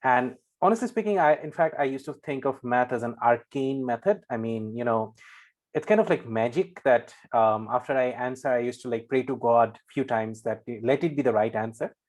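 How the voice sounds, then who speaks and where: A young man presenting on a video call, talking rapidly and with energy. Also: medium pitch, darkish tone. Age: young adult. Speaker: panel speaker.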